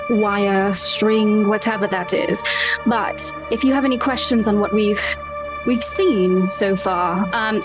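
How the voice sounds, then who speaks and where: Female tabletop player, mid-pitched, improvising narration in a hesitant, repetitive way over clear background music. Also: rough recording. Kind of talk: casual improvised roleplay narration. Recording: music bed behind the voice.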